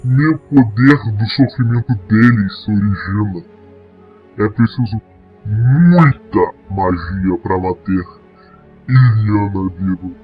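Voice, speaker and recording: Fantasy audio story creature male performer: slow, grandly formal monster of darkness, menace mixed with desperation, music underneath. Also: on the low side, muffled recording, voice pushed down. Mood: grave, explaining. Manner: archaic, formal, slow speech.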